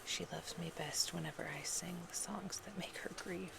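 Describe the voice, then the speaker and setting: An ASMR performer reads love poetry in a soft, intimate, unhurried whisper that is breathy with creaky edges. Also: mid-range voice, steady tone, background noise. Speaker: ASMR performer reading love poems in a roleplay.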